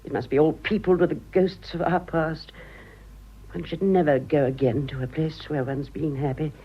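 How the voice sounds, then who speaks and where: A woman's voice: deep voice, steady tone, normal speed, slightly rough, lo-fi sound. An actress playing a vain, sentimental middle-aged society lady in a British radio drama, with a British accent and a gushing, aristocratic manner. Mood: wistful.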